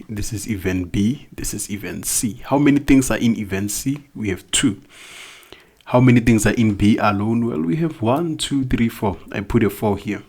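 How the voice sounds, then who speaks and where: Low gravelly male math teacher, accented. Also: a little mellow. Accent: non-native English accent. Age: adult, not young. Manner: explains step by step, asks questions then answers them. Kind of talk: recorded math lesson.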